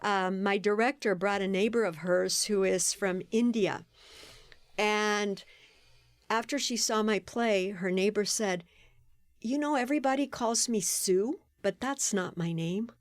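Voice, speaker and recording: A woman guest on a podcast interview, telling stories in an animated way and laughing often. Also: mid-range voice, measured pace, no room sound. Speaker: guest, playwright and performer.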